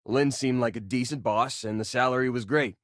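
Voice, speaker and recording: An actor playing an easygoing young space miner in a video game, with a casual, joking way of talking. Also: male voice, medium pitch, a little fast, no room sound, studio quality, close to an everyday voice. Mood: casual, wry. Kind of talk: video game companion voice lines.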